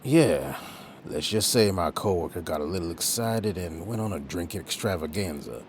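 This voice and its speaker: A man's voice: deep voice, animated, normal speed, a little hiss or hum. A man playing a weary, long-suffering but good-natured agent in an audio drama, with a dry, understated manner. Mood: dry, resigned.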